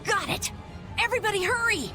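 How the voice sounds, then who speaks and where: A woman's voice: extremely high pitch, animated, brisk. A woman voicing an eager, earnest young boy in a video game. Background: game music.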